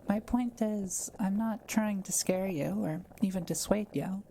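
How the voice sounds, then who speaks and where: A woman playing a kind, patient witch in an intimate audio roleplay, explaining things gently and without hurry to one listener. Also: mid-range voice, measured pace, gravelly, a little hiss or hum. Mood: careful, earnest. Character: kind, quiet-living forest witch, patient and scholarly.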